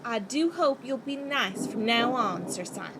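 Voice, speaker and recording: A woman playing a kind, frank young girl in a radio play, earnest and gently scolding. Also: fairly high pitch, expressive, normal speed, heavy background noise, close to an everyday voice. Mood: frank, sympathetic. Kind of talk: theatrical radio play acting.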